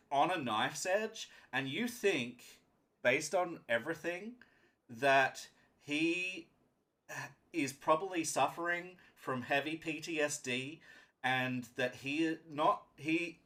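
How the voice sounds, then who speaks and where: A man's voice: fairly high pitch, fairly bright tone. A man narrating a live tabletop roleplaying game as game master, speaking emphatically and often checking in with his players.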